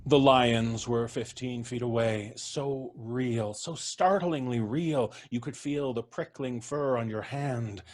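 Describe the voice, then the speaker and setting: A man narrating a classic tale in a measured, vivid way for a live audience show. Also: medium pitch, a little mellow. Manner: measured, vivid, lingering on sensory details. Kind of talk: dramatic live story narration. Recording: live stage recording with faint music.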